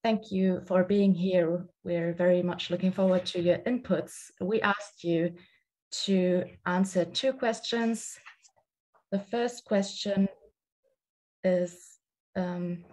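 A woman's voice: fairly low pitch, darkish tone, rough recording. A young woman moderating an online panel, introducing the speakers with frequent hesitations, over a headset on a video call. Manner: halting, with fillers.

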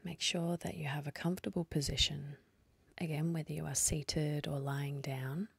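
A woman leading a guided meditation in a soft, husky, breathy voice, speaking slowly and soothingly with long pauses. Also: deep voice, steady tone, no room sound.

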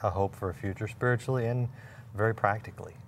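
A man's voice: lowish voice, quick pace, a little hiss or hum. A man in his forties hosting a studio interview, asking questions in a conversational way on a lapel mic. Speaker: interview host. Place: TV studio, seated at a table.

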